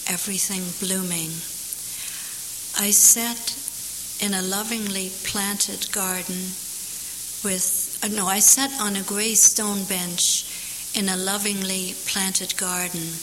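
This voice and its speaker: A woman poet whose first language is German, answering audience questions and reciting her own poem from memory, thoughtful and unhurried with dry humor. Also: medium pitch. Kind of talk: Q&A after a poetry reading, reciting from memory. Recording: hissy recording in a big echoing hall.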